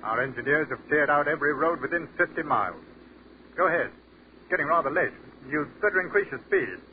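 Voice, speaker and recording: Man doing a dreamy educated army captain, talks crisp and poetic, faint hiss, 1940s radio play sound. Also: on the high side, animated, fairly quick, natural voice. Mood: measured. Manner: crisp, articulate, lyrical speech. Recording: tinny, hissy 1940s radio broadcast.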